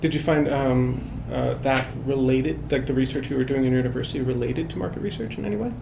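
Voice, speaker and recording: A man's voice: mid-range voice, quick pace, gravelly, roomy sound, background noise. A man hosting a panel podcast in a casual, encouraging way, often saying "right on". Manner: upbeat, encouraging, says "right on". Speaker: podcast host. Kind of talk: casual interview chat. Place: office meeting room.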